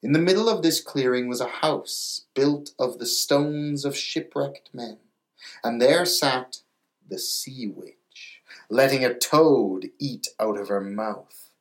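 A man's voice: medium pitch, slow. A man reading a storybook aloud as a narrator, mixing fairy tale narration with casual, wry asides. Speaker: storybook reader.

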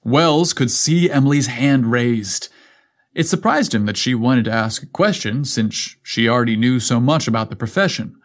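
A man's voice: medium pitch, normal speed. A man reading a scripted story aloud as its storyteller.

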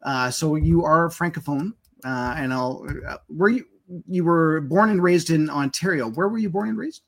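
A man's voice: fairly high pitch, moderate pace, a bit bright. A man hosting a live webinar interview, chatty and hesitant, with frequent fillers. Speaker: webinar host.

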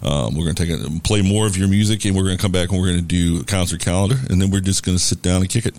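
A man with a very low, deep, booming voice hosts a live radio show. He chats loosely and casually, with plenty of ums and restarts. The tone is relaxed and unhurried. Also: no room sound. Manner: relaxed, rambling with many fillers. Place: bar and restaurant.

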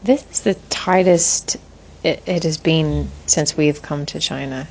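A woman in her forties, a guest in an interview, talking in a thoughtful, measured way with frequent restarts. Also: deep voice, slight background noise. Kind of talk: reflective interview conversation.

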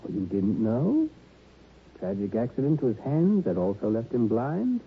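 Genteel, slightly bewildered dealer, precise radio drama diction, lively pitch, narrow vintage broadcast sound. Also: male voice, normal speed, a little hiss or hum. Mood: regretful. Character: fussy, genteel, puzzled male violin dealer. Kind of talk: 1950s-60s radio drama acting.

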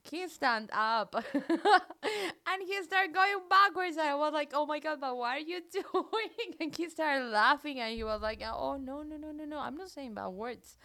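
A woman's voice: high-pitched, expressive, unhurried, sharp tone. A woman from Colombia in her thirties, a native Spanish speaker with a Colombian accent, hosting a radio show in casual chat and searching for her English words.

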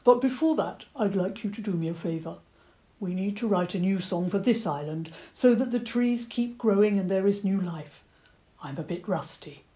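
A woman's voice: medium pitch, moderate pace, warm tone, natural voice. A woman doing a wise, fussy old badger. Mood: gentle. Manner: stammering, courteous, slightly eccentric.

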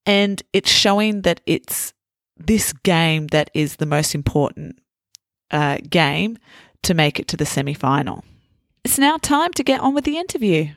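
A woman hosting a podcast, slowly and clearly explaining English phrases to learners. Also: lowish voice, dry recording, clean studio sound. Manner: slow, clear, teacherly explanations. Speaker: podcast host.

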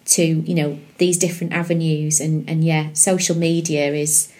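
Woman, podcast guest, chatty, rambling, restarts, lowish voice. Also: flat delivery, normal speed, mellow. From England. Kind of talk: casual interview chat.

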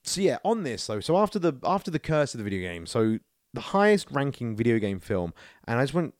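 A man's voice: medium pitch, very animated, brisk, dry recording, clean studio sound. A man co-hosting a podcast, chatting casually about entertainment in a rambling, sweary way. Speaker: podcast host. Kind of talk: casual film and video game chat.

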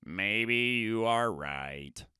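A small, earnest toad who worries about his friend, his voice dropping low and quiet, as a man reads him. The delivery stays slow and the sound is dry and close. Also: animated, clean tone, no room sound, full character voice. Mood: worried. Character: anxious devoted male toad, small and earnest.

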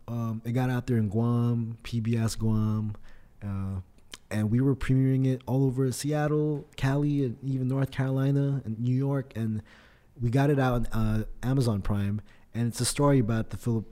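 A man's voice: medium pitch, warm tone. A man whose native language is Tagalog, chatting casually and slangily as a podcast guest, with friendly address and frequent conversational tags. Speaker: podcast guest, indie hip-hop artist. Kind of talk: casual chat.

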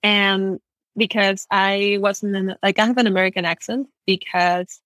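A woman's voice: medium pitch, measured pace, no echo. A young woman from Ecuador, a guest chatting casually in an interview, telling stories with lots of "like". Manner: chatty, uses lots of "like", animated storytelling.

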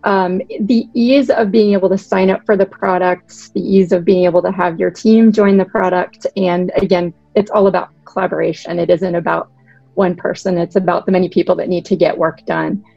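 A woman from Boston in her thirties, a podcast guest giving articulate, measured explanations of her work. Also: on the low side, fairly even intonation, vocal fry, mellow, dry recording, highs cut off. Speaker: guest, product director. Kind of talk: podcast interview.

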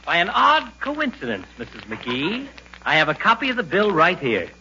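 A needling American family doctor in a 1940s radio comedy. He is wordy and mock-courteous, with a bright, highish voice full of swing. The recording is dull and faintly hissy. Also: huge pitch swings, normal speed, heavily acted. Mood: sarcastic. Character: wry, pompous male family doctor, needling his friend.

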